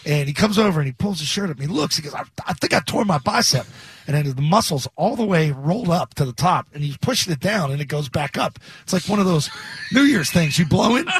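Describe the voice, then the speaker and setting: A man hosting a sports talk radio show, telling lively stories and mimicking the people in them. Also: a little high, fast, husky. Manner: storytelling, animated impressions of others. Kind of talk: casual sports radio banter. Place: radio studio at a stadium.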